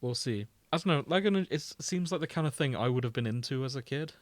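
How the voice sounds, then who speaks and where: A man's voice: mid-range voice, expressive, quick pace, dry recording. A man co-hosting a podcast in casual chat, telling stories with mock quotes. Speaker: podcast co-host.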